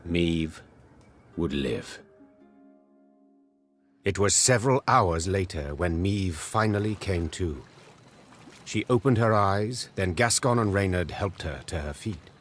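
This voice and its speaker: A solemn fantasy game storyteller. His voice is low and very unhurried, with an old-fashioned gravity, set over clearly audible music. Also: lively intonation. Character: solemn male storyteller of an epic fantasy tale. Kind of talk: scripted game narration. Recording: prominent music behind the voice.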